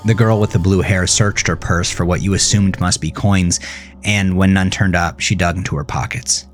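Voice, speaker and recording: A man's voice: low-pitched, steady tone, normal speed, faint music behind. A man narrating a horror story in a dramatic, grave and suspenseful manner. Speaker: author narrating his own horror fiction.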